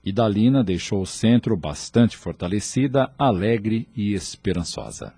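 A man's voice: fairly low pitch, big pitch swings, unhurried. A man with a Brazilian accent reading scripted narration for a radio drama. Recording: music under the voice. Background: prominent music.

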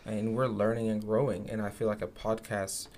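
A man's voice: lowish voice, little pitch movement, moderate pace, a little mellow. A young man from Zimbabwe, co-hosting a podcast, talking in an earnest, reflective way with many fillers. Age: young adult. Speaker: podcast co-host. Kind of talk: casual podcast conversation.

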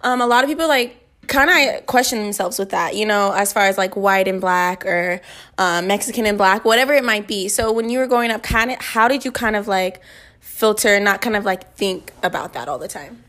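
A woman's voice: medium pitch, fairly quick, a bit bright. An American woman interviewing in a casual, conversational way, with informal, loosely phrased questions.

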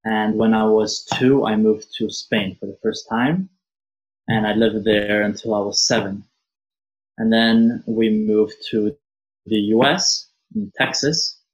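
Young man, 19, from Norway, lowish voice, earnest, thoughtful, interview guest. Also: fairly even intonation, slow, poor audio. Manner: thoughtful, earnest, frequent fillers. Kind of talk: casual interview conversation.

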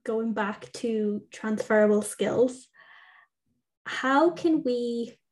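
Young woman interviewer, slightly high voice. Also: extremely slow, darkish tone, rough recording. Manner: friendly, hesitant, many fillers. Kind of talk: casual interview chat.

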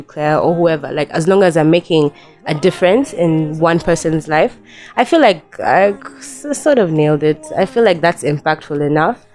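A woman's voice: low-pitched, a little mellow. A young woman guest on a podcast, chatting casually, with a stuffy nasal voice from a cold and frequent laughter. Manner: giggly, chatty, laughs often.

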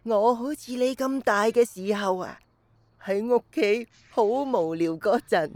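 A woman's voice: medium pitch, a little mellow, no room sound, close to an everyday voice. An actress playing an elderly grandmother in a radio drama, telling stories to a small child in Hong Kong Cantonese with nostalgic, playful fondness. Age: older. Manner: slow, wistful storytelling to a small child.

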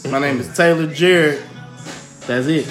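A young American man with a fairly high voice tells stories on a podcast in slangy, animated talk. The room has a slight echo, with faint music underneath. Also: normal speed. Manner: slangy, animated, profane storyteller. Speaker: podcast co-host. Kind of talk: casual storytelling chat.

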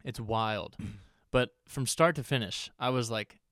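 A young man rambles through a relaxed podcast chat, often trailing off mid-thought and laughing along. His voice is smooth and clear, recorded close and dry with no room sound. He talks like he's hanging out with family. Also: mid-range voice, a little slow, dry recording. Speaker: podcast co-host. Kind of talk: casual chat.

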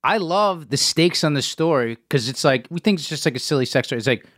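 A man's voice: fairly high pitch, brisk, dry recording, clean studio sound. A man in his thirties co-hosting a podcast, chatty and casual. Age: 30s. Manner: animated, casual, interjecting. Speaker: podcast co-host.

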